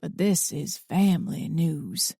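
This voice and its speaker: A woman voicing a bitter, mean old man in his eighties who sneers and talks in rough country grammar. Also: on the low side, moderate pace, mellow, dry recording, clean studio sound, lightly acted.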